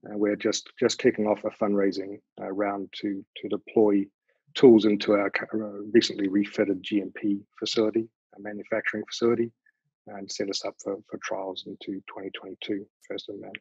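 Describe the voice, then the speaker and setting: Husky New Zealand man, halting. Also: on the low side, little pitch movement, darkish tone, no echo. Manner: halting, with many repeated words and fillers. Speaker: biotech company founder and CEO. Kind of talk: panel self-introduction. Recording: dry, dull lo-fi sound.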